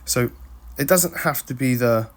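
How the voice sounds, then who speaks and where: A man's voice: mid-range voice, unhurried. A young British man narrating a travel vlog with dry, self-mocking humor. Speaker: travel vlogger.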